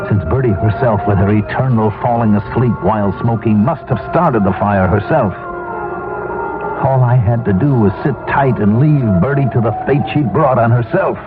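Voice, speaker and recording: A man's voice: medium pitch, close to an everyday voice. An actor playing a guilt-ridden, harried family man in a 1970s radio drama. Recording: tinny 1970s radio recording with music.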